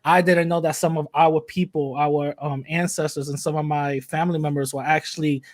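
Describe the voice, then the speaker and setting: A young man talks in a loose, joking ramble full of fillers. His voice sits a little high. The sound is dry and close to the mic. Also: fairly even intonation, brisk, dry recording. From New York City, USA. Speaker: podcast host, interviewee. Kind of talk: casual interview chat.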